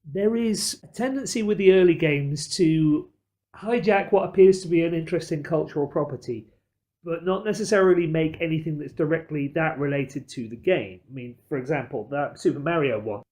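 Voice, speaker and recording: A podcast host in casual discussion, speaking in a measured, carefully qualified, wordy way. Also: mid-range voice, warm tone.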